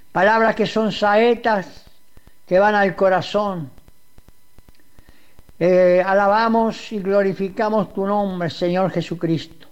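A man's voice: high voice, clean tone, dull audio. A man leading a fervent radio prayer, pleading and repeating the address to God. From Uruguay. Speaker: radio preacher.

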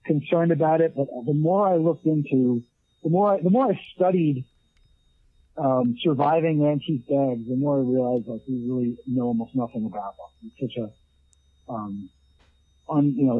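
A man's voice: mid-range voice, normal speed, slightly rough, no echo. A man in his late thirties talking casually in an interview, rambling with many restarts and fillers. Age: 30s. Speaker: leatherwork artist guest. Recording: tinny phone line.

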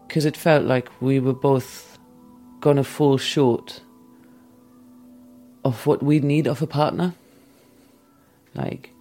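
Reflective man with a gravelly voice. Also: medium pitch, a little mellow. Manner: thoughtful, measured, candid. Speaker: interviewee recounting a relationship. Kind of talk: reflective personal storytelling.

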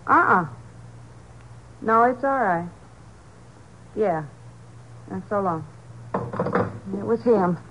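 An actress playing a weary, nervous nightclub performer in a 1950s radio crime drama, plain-spoken and slangy. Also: female voice, mid-range voice, animated, slow, pauses often. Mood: guarded. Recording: dull, faintly noisy old radio recording.

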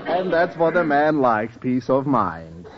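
An actor playing a wry, teasing older professor in an old radio sitcom, with theatrical joke-telling and odd turns of phrase. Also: male voice, mid-range voice, sing-song, slow, a loud crowd, heavy background noise. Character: wry, teasing older professor, grumbling fondly about women. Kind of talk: 1940s-50s radio sitcom acting. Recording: dull old-time radio recording.

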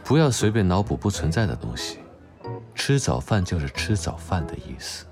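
A cool young doctor in an audio drama. His voice sits low with lively swings in intonation. He sounds blunt and drily exasperated, teasing gruffly, with music underneath. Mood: exasperated, dry. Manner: dry, blunt, exasperated, unhurried. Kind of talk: Chinese audio drama acting.

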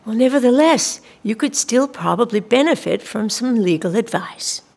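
A woman acting in a radio drama as a prim, pious, coolly menacing female investigator with a formal, measured, officious manner. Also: fairly high pitch, lively intonation, creaky, a little mellow, husky, full character voice. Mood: coolly advising. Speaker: actor in radio play. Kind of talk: naturalistic audio drama acting.

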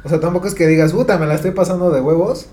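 A Mexican man with a Mexican Spanish accent, chatting casually on a podcast in a relaxed, slang-filled way. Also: on the high side, very fast, a little hiss or hum. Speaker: podcast co-host.

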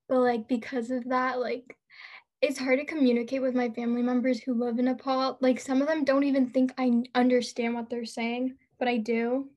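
Teen girl, very high voice, chatty, giggly co-host. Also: darkish tone. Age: teens. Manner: chatty, laughing, filler-heavy. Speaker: podcast co-host. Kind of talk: casual chat.

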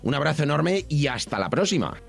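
A man's voice: on the high side, sing-song, a little fast, vocal fry, faint music behind. A man giving an upbeat, friendly segment as a tea shop founder. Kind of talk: prepared spoken segment.

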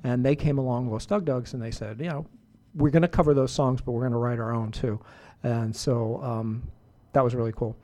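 A middle-aged man hosting a music radio show, chatting casually between songs in a relaxed, rambling way with many fillers and restarts. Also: medium pitch, brisk, hardly any pauses.

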